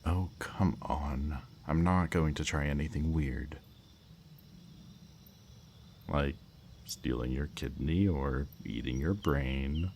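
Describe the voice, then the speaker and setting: A man's voice: a bass voice, gravelly, darkish tone, exaggerated voice. A man playing a smug, politely menacing kidnapper in an intimate ASMR roleplay, speaking to the listener in a teasing, mock-courteous way. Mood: amused, coaxing. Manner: slow, teasing, mock-courteous, lingering over words. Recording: faint background noise, chirping night crickets.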